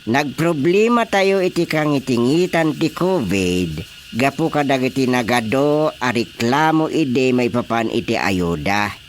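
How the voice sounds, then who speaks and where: Casting: male actor as excitable village chairman, very lively pitch swings, unhurried, radio comedy drama. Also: slight background noise, lightly acted. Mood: defensive. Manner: exclamatory, animated. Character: excitable village chairman hearing out residents' troubles.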